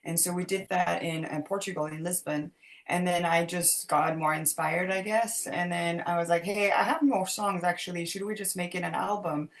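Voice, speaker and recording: A woman's voice: on the low side, steady tone, moderate pace. A young woman from France, a singer, talking casually and loosely with many fillers on a video call. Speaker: singer, interview guest. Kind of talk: casual interview talk.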